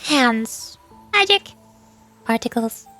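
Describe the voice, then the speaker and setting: A woman's voice: a little high, lively intonation, takes it slowly, sharp tone, faint music behind. A woman streaming a video game, chatting in a playful, cutesy manner as she comments along.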